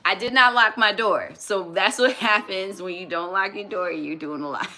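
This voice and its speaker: A woman, hosting a casual live stream from home, chatty and quick to laugh, often restarting her sentences as she answers viewer comments. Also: mid-range voice, sharp tone, a little hiss or hum. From New York City, USA. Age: 30s. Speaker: livestream host.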